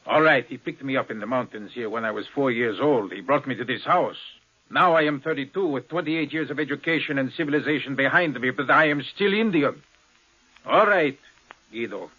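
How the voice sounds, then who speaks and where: A man's voice: medium pitch, sharp tone, breathy edge. An actor playing a bitter, guarded pilot in his thirties, speaking curtly in a 1950s radio drama. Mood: bitter, brooding. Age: 30s. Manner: curt, brooding, deliberate. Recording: dull, lo-fi 1950s radio recording.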